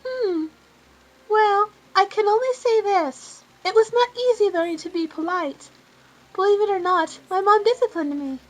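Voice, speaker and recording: A woman's voice: very high voice, a little slow, voice pushed up, rough recording. A woman voicing a sweet, polite young girl rabbit in a fan-made cartoon question show. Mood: polite, earnest.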